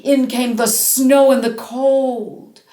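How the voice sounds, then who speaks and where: A woman telling a fairy tale for children in an expressive, dramatic way, drawing out key words for emphasis. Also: sits high. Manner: dramatic, drawn-out emphasis, animated. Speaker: storyteller. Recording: roomy, echoing space.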